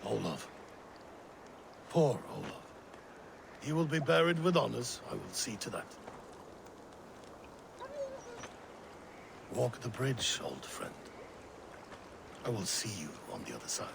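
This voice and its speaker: A volatile Viking chieftain in a game cutscene. His gravelly voice leaps wildly from low mutters to high shouts. Heavy outdoor ambience sits under the game audio. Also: very animated, a little slow, long pauses, background noise, exaggerated voice. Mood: grieving. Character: unstable grieving Viking chieftain hunting traitors. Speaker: Viking leader. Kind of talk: video game cutscene acting. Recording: game audio over loud swamp ambience.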